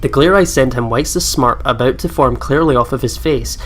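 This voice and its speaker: A man's voice: medium pitch, brisk, a bit bright. Guy doing a horror game lets play, reads the text out n reacts as he goes, some noise behind him. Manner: reacts with exclamations and asides while reading. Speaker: let's play host. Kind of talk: game commentary and reading story text aloud. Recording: clear background noise.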